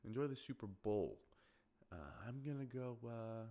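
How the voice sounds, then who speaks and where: A man's voice: mid-range voice, normal speed, mellow. A young man hosting a solo podcast, chatting casually and rambling with many fillers and restarts. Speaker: podcast host.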